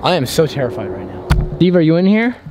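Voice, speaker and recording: A man's voice: fairly high pitch, big pitch swings, fast. Jittery male vlogger, noisy outdoor recording. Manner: jittery, breathless, heavy swearing, repeats phrases. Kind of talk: whispered and hushed first-person exploration vlog. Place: outdoors at an abandoned campsite. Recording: noisy outdoor handheld ambience.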